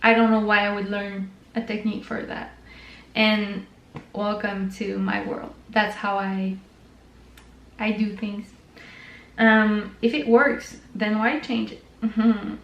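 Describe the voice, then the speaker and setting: A woman's voice: mid-range voice, little pitch movement, takes it slowly, echoey room, dull audio, a little hiss or hum. A woman with a French Canadian accent, hosting her own knitting channel in a chatty, informal way with lots of fillers. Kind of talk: casual chat to camera.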